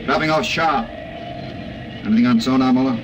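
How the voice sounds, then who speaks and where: A fearless army general played by a man with a mid-pitched male voice and a curt, commanding delivery. He snaps out clipped orders in the style of old radio drama, over the clear noise of an aged broadcast recording. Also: normal speed, muffled recording, close to an everyday voice. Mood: tense, gruff.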